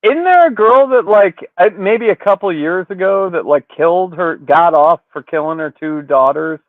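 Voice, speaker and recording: A man's voice: sits high, lively intonation, moderate pace, no echo. A man hosting a podcast, casually recapping a story in slangy, profane language, with thin phone-like sound. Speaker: podcast host.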